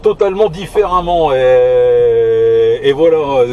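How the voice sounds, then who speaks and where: A man's voice: mid-range voice, takes it slowly, mellow. A man in a casual two-way radio chat, talking hesitantly, drawing out words and repeating his phrases. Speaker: CB radio operator. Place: inside a car outdoors. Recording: muffled, band-limited, noisy car, distant mic.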